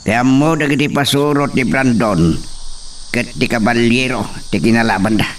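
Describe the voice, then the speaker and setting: A man's voice: mid-range voice, moderate pace, far from the mic, slight background noise, lightly acted. A man playing a firm, commanding and protective father in an Ilocano drama, with a Filipino Ilocano accent. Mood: grave, decisive. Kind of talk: Ilocano radio-style drama acting.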